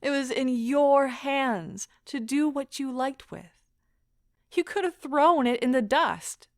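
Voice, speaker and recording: Actress doing heartbroken woman pleading w/ her lover, high voice, slow dramatic delivery, audio play. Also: animated, dry recording, clean studio sound, heavily acted. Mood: impassioned. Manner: theatrical, impassioned, deliberate delivery. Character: anguished, pleading woman leaving a broken love affair. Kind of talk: stage-style audio drama acting.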